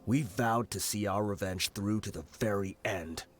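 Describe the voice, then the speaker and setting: A man's voice: lowish voice, moderate pace, soft background music, close to an everyday voice. A man playing a grave, guilt-ridden veteran mercenary leader, telling things solemnly in a video game. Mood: vengeful. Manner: solemn storytelling.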